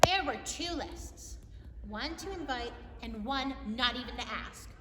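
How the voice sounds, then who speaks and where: A woman's voice: sits high, expressive, measured pace, a bit bright, a little hiss or hum. A woman doing a bossy, scornful little girl. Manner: scornful, scolding. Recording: echoey room, voice from far off.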